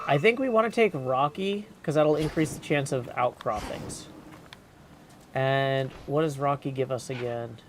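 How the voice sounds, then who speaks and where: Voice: mid-range voice, a little slow. A game streamer giving casual livestream commentary, muttering through choices and reading game text aloud, with noise behind the voice. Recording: clear background noise.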